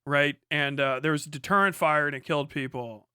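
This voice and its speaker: A man's voice: a little high, fairly even intonation, brisk, sharp tone, clear, resonant tone, dry recording, clean studio sound. A man giving casual, sweary live commentary as a stream host, blunt and scornful in a slangy way. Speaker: livestream host reacting to a debate.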